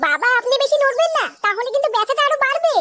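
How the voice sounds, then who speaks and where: A performer voicing a protective, sharp-tongued village daughter-in-law in a comedy cartoon, with loud noise behind. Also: very high-pitched, animated, racing, heavily acted. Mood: concerned. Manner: respectful to elder, sharp-tongued scolding.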